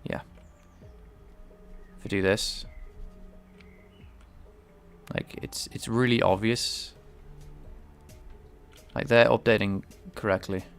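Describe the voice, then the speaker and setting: A man's voice: on the low side, fairly even intonation, takes it slowly, gravelly, pauses often, clean tone, faint music behind. A man thinking aloud while live-coding and explaining his game engine, hesitant and full of restarts, sounding a bit stuffed-up and nasal from a cold. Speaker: game developer streaming his work. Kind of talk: casual live-coding commentary.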